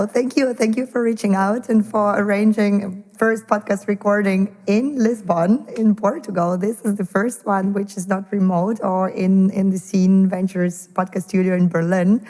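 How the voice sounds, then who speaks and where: A woman podcast host, encouraging her guest and filling pauses with "uh". The recording has a long hall-like reverb. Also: mid-range voice, steady tone, a little mellow. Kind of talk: hosting an interview.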